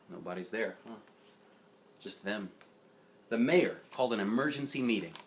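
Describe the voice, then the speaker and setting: A man narrates a children's picture book in a highly animated voice. He leans on key words dramatically, then slips into casual asides and questions to whoever is listening. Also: slight background noise. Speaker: dad reading a picture book aloud, show host. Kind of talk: expressive children's storybook narration with chatty asides.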